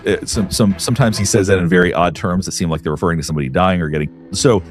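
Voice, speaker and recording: A man in a casual discussion, speaking in a halting way and restarting his sentences. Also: low voice, steady tone, music in the background.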